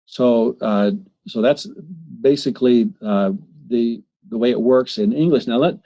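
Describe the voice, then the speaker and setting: Halting man presenting a webinar demo. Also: mid-range voice, warm tone. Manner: halting, many fillers and restarts. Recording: slightly muffled webinar audio.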